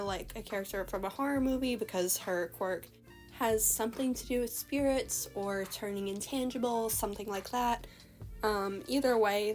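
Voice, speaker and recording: A young woman giving casual, rambling voice-over commentary on a costume redesign, her voice slightly hoarse from a recent illness. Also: mid-range voice, a little mellow. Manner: chatty, rambling, many "like" fillers. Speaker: artist commenting on a character redesign. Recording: voice-over with faint background music.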